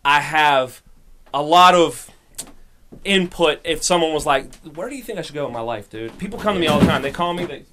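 A man's voice: fairly high pitch, fairly quick, a little hiss or hum. A young man on a podcast, a musician guest chatting casually and telling stories animatedly. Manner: animated storyteller, acts out quotes.

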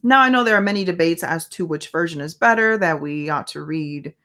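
A fairly low-voiced woman whose first language is Spanish talks through her own faith podcast. She sounds earnest and conversational, often stopping to ask herself questions as she goes. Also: brisk, a bit bright. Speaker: podcast host. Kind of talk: casual faith podcast monologue.

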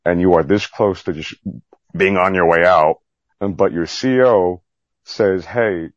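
A man with a low, husky voice chatting candidly in an interview, rambling, self-deprecating and mildly profane. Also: a little slow, dry recording, muffled recording. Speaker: podcast guest, Navy veteran. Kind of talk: candid casual interview chat.

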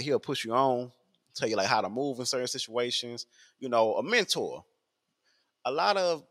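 A man, talking in a rambling casual podcast monologue full of fillers and repeats. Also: mid-range voice. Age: 20s.

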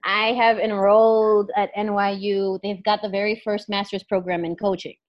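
A woman's voice: medium pitch, flat delivery, moderate pace. Woman speaking through a muffled, lo-fi line. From New York, USA. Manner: thoughtful, many fillers and restarts. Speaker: clinical psychologist and life coach, guest. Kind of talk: casual interview.

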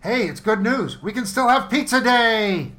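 A man's voice: very high voice, expressive, unhurried, bright, forceful tone, exaggerated voice. A man playing a food-obsessed, oblivious office worker in a comic audio play, casual and unbothered. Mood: upbeat, oblivious.

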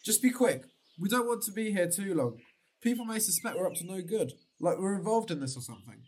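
A man's voice: sits high, expressive, fairly quick, darkish tone, a little hiss or hum. A young man acting a cautious, reluctant, hesitant friend in a radio drama. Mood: reluctant, uneasy.

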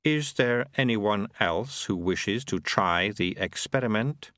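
A man plays an inhuman gentleman from a storybook, cold and formal. He delivers each phrase with slow, pompous weight, in a very dry, close sound. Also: fairly low pitch, lively intonation, dry recording. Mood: coolly menacing. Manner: deliberate, pompous, measured oratory. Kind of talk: audiobook character acting.

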